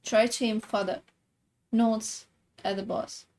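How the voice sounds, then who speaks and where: A woman's voice: a little high, little pitch movement, slow, darkish tone. A woman chatting casually beside a streamer, bluntly swearing and offering tips on how to play the game. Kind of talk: casual chat during a game.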